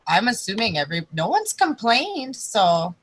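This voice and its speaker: Woman co-host, agreeable, mid pitch, short replies. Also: sing-song, moderate pace, slight background noise. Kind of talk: online talk show discussion.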